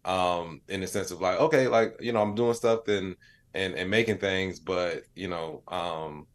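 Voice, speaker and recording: Deep-voiced man chatting casually in an interview. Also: unhurried. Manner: informal, reflective, frequent "like" and "you know". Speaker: podcast guest, comedian.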